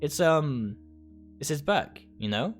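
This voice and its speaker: Man voicing a gentle, hesitant shop girl. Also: a little high, expressive, slow pace. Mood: hesitant, kind. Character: kind, quiet young female store worker. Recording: music behind the voice.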